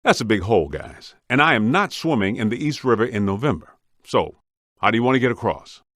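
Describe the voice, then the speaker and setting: A man's voice: mid-range voice, very animated, fairly quick, a bit bright, no echo, clean studio sound. A man playing a streetwise, wisecracking ghost hunter in a video game, speaking in a colloquial, wry, plain-spoken way. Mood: dry, skeptical. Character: streetwise, wisecracking male ghost-hunting team member.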